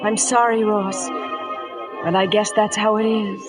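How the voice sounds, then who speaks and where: A woman's voice: mid-range voice, slow pace, music in the background, close to an everyday voice. A woman playing an emotional young lady at a frontier army post in a 1950s radio drama. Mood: distressed, regretful.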